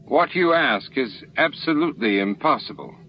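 A man voices a commanding alien chief in a sci-fi audiobook. The delivery is smooth and measured, pitched up, with faint noise behind it. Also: lively intonation, dull audio, close to an everyday voice. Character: smooth, authoritative male alien chief presiding.

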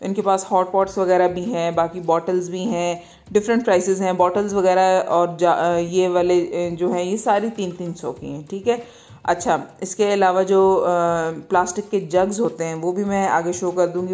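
Lower-pitched Pakistani woman, casual shopping guide. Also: steady tone, moderate pace, darkish tone. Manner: conversational, repeatedly checks in with "okay". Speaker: market vlogger.

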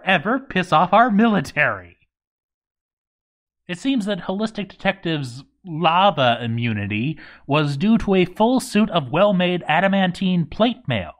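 A man's voice: sits high, a bit bright. A man reading a scripted story as narrator, with a wry, sardonic, sweary comic delivery. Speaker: narrator reading a game playthrough log.

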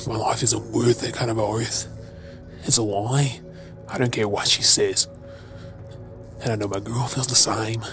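A man's voice: fairly low pitch, fairly even intonation, unhurried, long pauses, over music, lightly acted. A man playing a battered, protective werewolf boyfriend in an audio drama, earnest and strained in his delivery. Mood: pleading. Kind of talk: audio roleplay drama acting.

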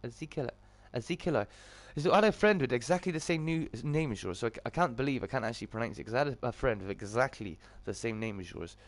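A British man with a slightly croaky, just-woken voice, hosting a casual livestream and greeting viewers chummily. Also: mid-range voice, animated, quick pace, few pauses, no room sound. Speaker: live streamer and host.

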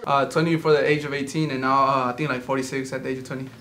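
A man in his twenties chatting excitably with friends, switching between Spanish and English. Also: medium pitch, very fast. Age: 20s. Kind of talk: casual group chat. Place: living room couch, indoors. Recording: echoey room with noise.

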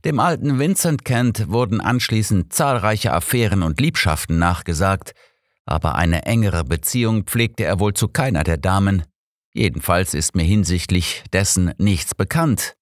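A man's voice: low voice, expressive, moderate pace, no echo, studio quality. Man voicing an emphatic old master detective. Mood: pondering. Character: old male master detective, shrewd and insistent.

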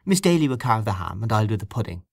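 A man voicing an excitable, warm-hearted young wife speaking lightly to her husband. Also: lowish voice, fast talker, creaky, dry recording, studio quality, heavily acted. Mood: eager.

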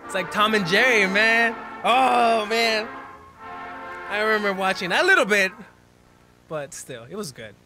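A man in his twenties giving casual, expressive reaction commentary full of slang, speaking into a desk microphone. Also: high-pitched, measured pace, pauses often, music in the background. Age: 20s. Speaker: reaction video host. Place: small bedroom, indoors. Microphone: desk microphone close in front.

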